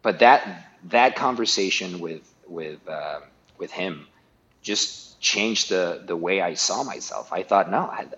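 A man's voice: deep voice, lively intonation, slow, fairly bright tone, muffled recording, slight background noise. A middle-aged man from Guatemala, a guest talking casually in an interview, often restarting and repeating words.

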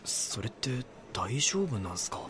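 A man's voice: mid-range voice, big pitch swings, a little slow, fairly bright tone. A man playing an earnest junior reporter in an audio drama, deferential but full of doubt and questions. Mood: questioning.

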